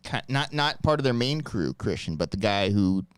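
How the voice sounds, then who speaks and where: A man co-hosting a casual podcast chat about TV, speaking in an emphatic, animated way into a close microphone. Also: on the low side, moderate pace, clean tone, no echo. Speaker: podcast co-host.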